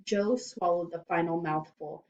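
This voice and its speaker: A woman storyteller reading a children's book aloud in animated scripted narration. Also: on the low side, unhurried, slight background noise.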